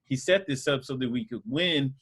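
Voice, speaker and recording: A man's voice: mid-range voice, normal speed, a bit bright, breathy edge. A man casually teaching a Sunday school group over a video call, talking loosely with lots of fillers. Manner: loose and conversational, many fillers.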